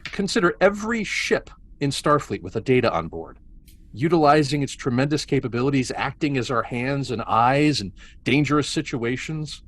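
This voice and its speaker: A man's voice: medium pitch, expressive, moderate pace, fairly bright tone, a little hiss or hum, close to an everyday voice. A man playing an arrogant, impatient scientist and officer in a science fiction table read, with a dramatic, condescending delivery. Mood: heated.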